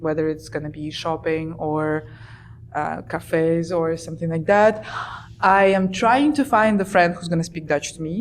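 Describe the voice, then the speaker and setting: Young woman w a ukrainian accent, talks quick n low-ish, faint noise behind. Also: fairly even intonation. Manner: chatty and candid, many fillers. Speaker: podcast guest. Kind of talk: casual podcast chat.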